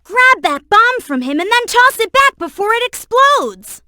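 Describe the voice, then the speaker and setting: A woman voicing a young boy fox sidekick in a video game, earnest, helpful and excitable, with clear childlike delivery. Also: very high-pitched, a little slow, no echo, clean studio sound, full character voice. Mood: urgent, encouraging. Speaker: video game character giving hints. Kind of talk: game voice acting, tutorial hints and alerts.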